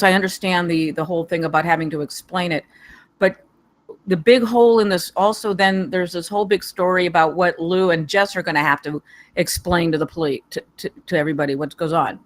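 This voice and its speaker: A woman giving casual feedback in a hesitant, repetitive way, searching for words. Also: deep voice. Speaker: table read participant giving feedback.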